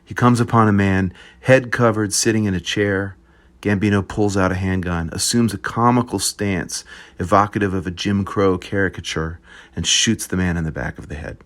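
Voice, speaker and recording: A man reading an essay aloud at a group reading, measured and descriptive. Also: deep voice, steady tone.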